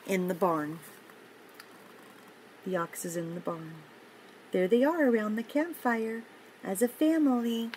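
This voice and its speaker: An older woman reading a picture book aloud to young children, gently and encouragingly, with chatty asides and questions to the listener. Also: medium pitch, measured pace, pauses often, low-quality recording. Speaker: children's story reader and host. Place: indoor home office. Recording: clear background noise, room ambience.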